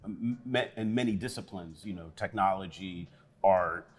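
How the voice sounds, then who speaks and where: A man speaking as an interview guest, telling how the event began in a relaxed storytelling way. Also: low voice, moderate pace. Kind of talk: conversational interview.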